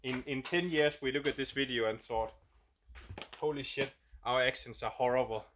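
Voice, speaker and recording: A man's voice: mid-range voice, moderate pace, a bit bright, slight background noise. A man with a Danish accent, a game designer casually explaining a new roleplay setup while thinking aloud and searching for words.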